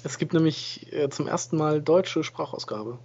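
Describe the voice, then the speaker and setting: A man's voice: on the high side, normal speed, slightly rough. A man co-hosting a gaming podcast, chatting in an easygoing way and often correcting himself. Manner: flat, easygoing, self-correcting. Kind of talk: casual game review chat. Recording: dull lo-fi home recording.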